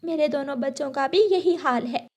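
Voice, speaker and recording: Woman as a high-voiced worried mother bird. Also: moderate pace, darkish tone. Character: caring, worried mother sparrow. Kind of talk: children's cartoon voice acting.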